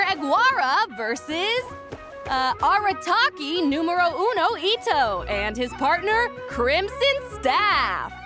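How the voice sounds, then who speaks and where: A woman's voice: extremely high pitch, heavily acted. A woman voicing a young female tournament host in a video game, announcing to spectators.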